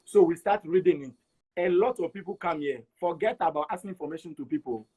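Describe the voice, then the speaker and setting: Higher-voiced Togolese man telling his story. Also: quick pace, mellow, slightly rough, rough recording. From Togo. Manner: repeats words for emphasis, acts out quoted dialogue. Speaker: interview guest. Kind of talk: animated personal storytelling.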